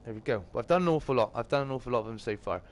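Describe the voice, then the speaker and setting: A British man with a slightly croaky, just-woken voice, hosting a casual livestream and greeting viewers chummily. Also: mid-range voice, animated, racing. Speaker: live streamer and host.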